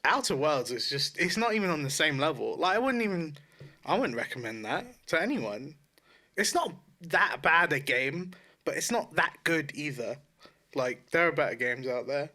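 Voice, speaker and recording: British guy on a gaming podcast, bright voice, really animated, slangy and sweary. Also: fairly high pitch, moderate pace. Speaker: podcast co-host. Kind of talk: casual gaming podcast chat.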